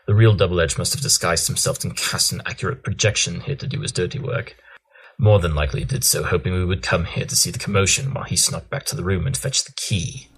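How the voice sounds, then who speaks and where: An actor playing an aloof, tactless pony detective with a clipped, analytical manner in an audio drama. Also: male voice, low-pitched, fairly even intonation, fast talker, vocal fry, a bit bright, a little hiss or hum, rough recording. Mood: brisk, deductive.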